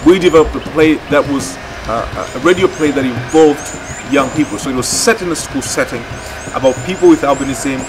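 A man, explaining a project in a documentary interview outdoors, with deliberate, halting phrasing. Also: medium pitch, background noise. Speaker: theatre project leader. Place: outdoors in a leafy courtyard.

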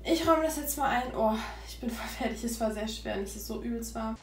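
A woman's voice: a little high, fast talker, over music. A young woman in her twenties chatting casually to her phone camera in a home kitchen, rambling with many fillers. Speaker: vlogger. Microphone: phone camera built-in mic. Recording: noisy phone recording.

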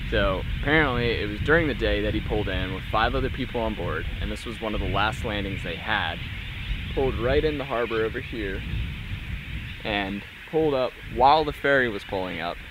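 Male travel vlogger, 30s, American, casual explainer delivery, muffled outdoor camera sound with loud wind. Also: on the low side. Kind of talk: casual explanatory vlog talk. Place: outdoors on a windy seaside island. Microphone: camera microphone.

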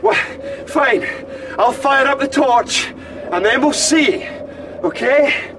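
A man's voice: takes it slowly, far from the mic. An actor playing a grim, determined man leading a stranded crew in a radio drama, terse and insistent. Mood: grim, commanding. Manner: terse, insistent, clipped phrases. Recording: loud rumbling noise behind.

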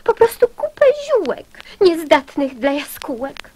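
A trusting, plaintive swallow for a children's radio play. The voice is very high and slow, with lively, expressive swings in pitch. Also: female voice, a little hiss or hum, low-quality recording. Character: gullible, plaintive female swallow bird. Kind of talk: dramatized children's verse.

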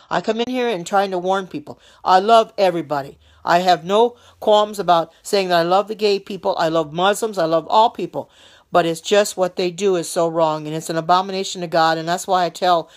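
Older lady preaching on a phone live stream, pleading, kinda low voice, going a bit hoarse. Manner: earnest, pleading, repetitive with many restarts. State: getting hoarse. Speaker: lay preacher broadcasting live. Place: small room indoors. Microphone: phone held below face.

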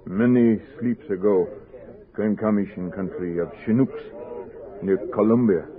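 A man plays an earnest young warrior in an old radio drama. He speaks slowly and solemnly in halting, broken English, low-voiced, on a thin, phone-like recording. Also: close to an everyday voice. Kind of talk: 1960s radio drama acting.

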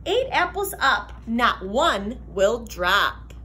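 A young woman reads a picture book to children in a high voice with big swings in pitch. Her delivery is slow, sing-song and expressive, like a teacher's. There is faint noise from the room behind her. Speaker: teacher reading aloud to children. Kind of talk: animated children's picture-book read-aloud. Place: classroom, indoors.

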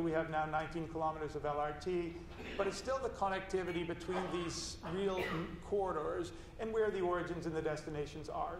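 A man's voice: a little high, recorded from a distance, a little hiss or hum. Emphatic man panelist in a roomy hall. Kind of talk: panel discussion. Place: conference stage, indoors.

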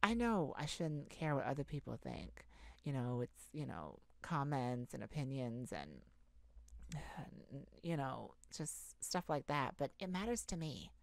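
A woman acting an exhausted, anxious pop star confiding haltingly in a bodyguard lover, in an intimate ASMR roleplay. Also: very deep voice, big pitch swings, gravelly, no room sound, heavily acted. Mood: anxious, torn. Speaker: audio roleplay performer.

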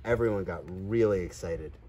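A man in his forties reading a children's story aloud outdoors, expressive, with playful asides. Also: sits low, normal speed. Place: outdoors on grass in a farm field.

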